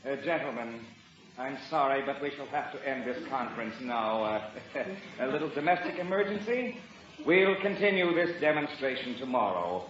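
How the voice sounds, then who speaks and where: A man's voice: medium pitch, lively intonation, normal speed, a bit bright, close to an everyday voice. An actor playing a harried, blustering middle-aged businessman husband in a 1950s radio sitcom, stammering in an American accent. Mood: controlled, conspiratorial. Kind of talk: 1950s radio sitcom acting before an audience. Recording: dull, noisy, echoey old radio broadcast.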